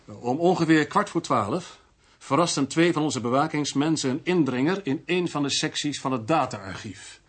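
A domineering, heavyset security official, curt and irritable. His voice is rough-edged and a little high for a man, in radio drama style. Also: animated, normal speed. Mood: impatient. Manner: curt, impatient.

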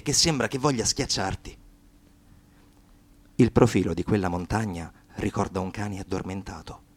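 A man narrating a scripted radio drama in a dramatic storytelling style. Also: medium pitch.

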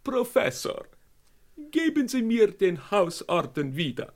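A sorrowful, regal maharaja in a comic radio drama. The delivery drags slowly with gravelly creak, lamenting theatrically in sweeping intonation. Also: male voice, high-pitched, sing-song, heavily acted. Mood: wounded lamenting. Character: grandiose, sorrowful foreign maharaja, easily wounded. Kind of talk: German radio play acting.

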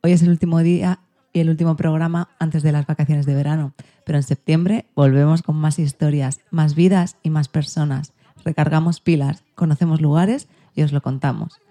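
A woman hosts a podcast. Her voice is very dark and mellow, with none of the sharp edge of a bright announcer, and she delivers prepared introductions. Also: sits low, steady tone, normal speed. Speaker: podcast host. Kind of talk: scripted podcast presentation.

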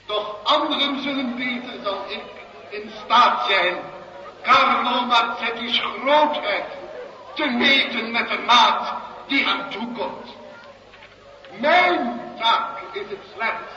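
An actor playing a pompous small-town orator giving a florid ceremonial speech in a radio play. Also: male voice, very high-pitched, slow, bright, forceful tone, heavily acted. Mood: grandiose. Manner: pompous, declamatory. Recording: muffled far-off outdoor speech, long reverb, hiss.

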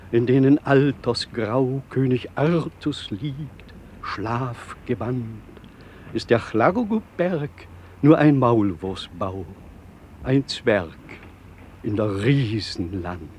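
An actor playing a solemn old reverend reciting verse. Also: male voice, mid-range voice, slow pace, darkish tone, a little hiss or hum, natural voice. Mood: solemn devotion. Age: older. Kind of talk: radio play verse recital.

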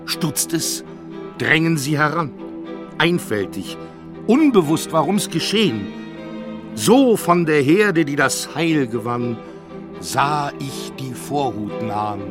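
A man's voice: a little high, lively intonation, takes it slowly, over music. An actor playing a sceptical, formal academic man with a dry, wordy manner in a German radio play. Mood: solemn reciting. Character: sceptical, correct academic man, reluctantly gripped.

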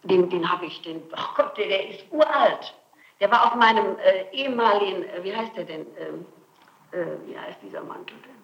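A middle-aged woman answering questions in a recorded interrogation, insisting and denying in halting, repetitive phrases. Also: medium pitch, animated, highs cut off. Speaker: suspect under interrogation. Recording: noisy 1960s archival tape.

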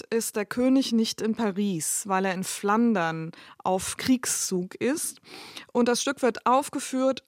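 A woman's voice: on the high side, dry recording, clean studio sound. A woman giving an emphatic expert explanation in an interview, stressing points as she goes. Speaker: expert commentator. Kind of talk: interview explanation of literary history.

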